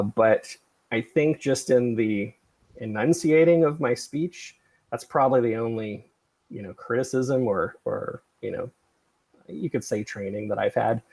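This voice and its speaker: Middle-aged male livestream host, husky with vocal fry, digressive and self-effacing. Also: fairly low pitch, darkish tone, low-quality recording. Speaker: podcast creator and livestream host. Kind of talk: casual livestream chat.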